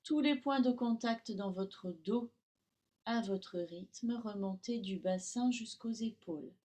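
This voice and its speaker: A woman yoga teacher guiding a relaxation session in calm, instructional short phrases with long pauses. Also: on the high side. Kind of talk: guided Yoga Nidra relaxation.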